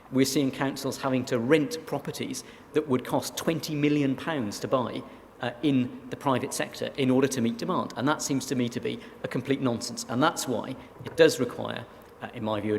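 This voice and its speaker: A man from South Wales, a council leader, giving measured, reasoned answers on a panel. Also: medium pitch. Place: conference hall. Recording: reverberant hall, faint background noise.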